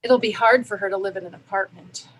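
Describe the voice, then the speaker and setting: A woman's voice: medium pitch, steady tone, fast talker, a little hiss or hum, close to an everyday voice, rough recording. A woman playing a watchful, nosy suburban housewife in a staged play reading. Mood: sympathetic. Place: video call.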